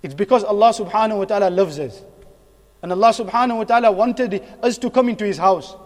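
High-pitched male preacher in an echoing hall. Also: fast, darkish tone. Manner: earnest, exhorting with repeated phrases and rhetorical questions. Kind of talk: sermon. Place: mosque hall. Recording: long echoing hall reverb.

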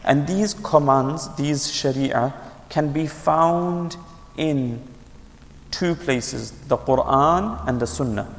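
A man with a gravelly, somewhat high voice gives a religious lesson. He explains patiently, poses his own questions and answers them, and repeats his key points. His voice rings with a long reverb, as if in a large hall. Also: slow pace. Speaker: Islamic teacher. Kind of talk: religious lecture.

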